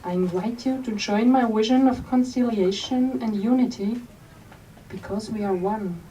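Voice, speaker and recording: A woman from Switzerland, reading aloud from her memoir at a bookstore event in a gentle, unhurried storytelling manner. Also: a little high, lo-fi sound. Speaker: author. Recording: distant mic with faint room noise.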